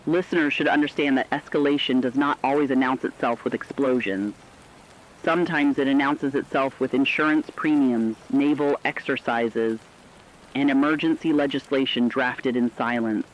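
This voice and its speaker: A man playing a sober radio analyst, giving formal, deliberate commentary in a scripted emergency broadcast. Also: mid-range voice, fairly even intonation, muffled recording, natural voice. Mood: sober, ominous.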